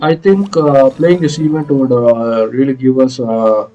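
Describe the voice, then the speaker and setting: Guy doing a casual game playthrough, non-native english, hesitant n halting, mid pitch, faint music behind. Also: steady tone, highs cut off. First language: not English. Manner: halting, hesitant, wordy explaining. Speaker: gameplay video host.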